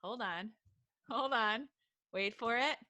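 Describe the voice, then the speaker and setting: A woman co-hosting a podcast over a video call, chatting casually, giggly and excitable. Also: a little high, slow pace, dry recording, dull audio. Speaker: podcast co-host. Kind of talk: casual chat.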